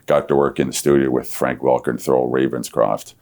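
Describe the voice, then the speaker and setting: Male, 60s, bottomless bass with heavy fry. Easygoing storyteller, casual interview guest. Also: flat delivery, a little fast, darkish tone. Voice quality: very deep radio voice. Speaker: interview guest, veteran themed entertainment creative. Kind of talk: casual reminiscing in a live interview.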